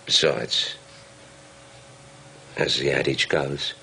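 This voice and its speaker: An actor playing a philosophical, chilling prisoner and confessed murderer, with gravelly, formal, deliberate speech. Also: male voice, rumbling low, fairly even intonation, bright, forceful tone, a little hiss or hum, exaggerated voice. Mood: measured, knowing. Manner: formal, literary, deliberate wording. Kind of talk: screen drama acting.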